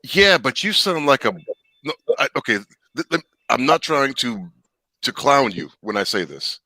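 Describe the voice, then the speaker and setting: A man's voice: medium pitch, very animated, moderate pace, bright, forceful tone, no echo, rough recording. A man speaking bluntly in casual online chat, stumbling over repeated words.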